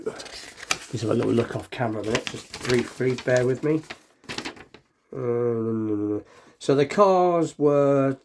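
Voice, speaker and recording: A man's voice: mid-range voice, darkish tone. A man with a slightly nasal, stuffed-up voice from a cold, chatting casually and thinking aloud as he hosts a hobby unboxing video.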